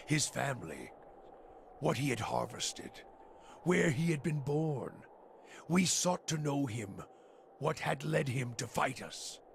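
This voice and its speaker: An actor playing a bitter, fanatical older fire priest in a fantasy game, gravelly and scornful, preaching in slow pronouncements. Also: male voice, mid-range voice, a little hiss or hum.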